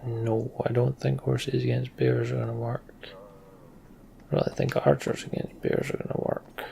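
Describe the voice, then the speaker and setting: A man's voice: medium pitch, little pitch movement, moderate pace, vocal fry, dark tone, a little hiss or hum. A man giving casual, muttered gameplay commentary and reading story text aloud, as a let's play host.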